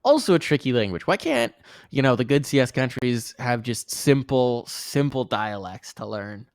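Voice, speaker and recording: Excitable male esports play-by-play caster. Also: moderate pace, no room sound, clean studio sound. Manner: excitable, jokey, pitch jumps high in big plays. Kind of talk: play-by-play commentary.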